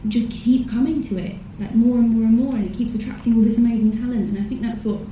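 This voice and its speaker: A woman's voice: on the high side, fairly even intonation, quick pace, mellow, slight background noise. A woman on a panel speaking enthusiastically in long run-on sentences. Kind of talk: panel Q&A answer. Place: large screening hall. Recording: echoey hall recorded from far off.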